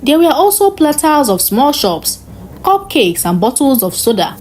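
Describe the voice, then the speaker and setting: Higher-pitched woman narrating a dramatic story. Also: sing-song, moderate pace, a little hiss or hum. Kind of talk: scripted dramatic folktale narration.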